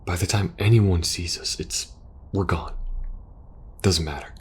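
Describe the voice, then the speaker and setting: A man playing a war-weary, protective soldier in an intimate ASMR audio roleplay, speaking earnestly and hesitantly, sometimes hushed. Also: sits low, fairly even intonation, measured pace, husky, full character voice. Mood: urgent, persuasive. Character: war-weary young male soldier pleading with best friend, protective, earnest.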